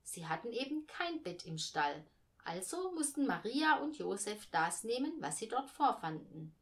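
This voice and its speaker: A woman's voice: medium pitch, big pitch swings, moderate pace, a bit bright. A woman reading a storybook, voicing a patient but strained mother who explains things with restraint to children. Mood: patient, explaining.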